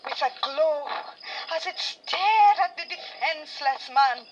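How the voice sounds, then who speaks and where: A woman with a Trinidadian accent reading a folk tale as a storyteller, dramatic and suspenseful with deliberate phrasing. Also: sits high, lively intonation, lo-fi sound, soft background music. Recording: clearly noisy background.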